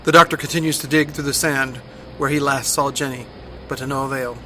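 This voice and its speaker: A man's voice: mid-range voice, fairly even intonation, a little fast, pushed and bright, soft background music. A man reading scripted narration for a fan audio drama.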